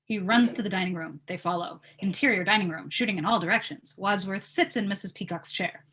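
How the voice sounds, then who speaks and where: A woman narrating stage directions from a script at an informal table read, with a husky voice. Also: medium pitch, brisk, fairly bright tone.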